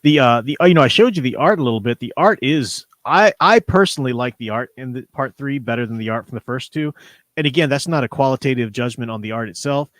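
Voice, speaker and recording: A man's voice: mid-range voice, lively intonation, fast, fairly bright tone, no room sound. A man co-hosting a casual livestream discussion, explaining things in a rambling, conversational way. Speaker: livestream co-host. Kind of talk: casual book and comics discussion.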